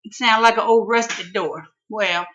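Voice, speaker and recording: A man from Mississippi with a Southern accent and a high-pitched voice, telling a comic story in a folksy, exclaiming way. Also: measured pace, bright, forceful tone, highs cut off, slight background noise. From Mississippi, USA. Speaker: vlogger.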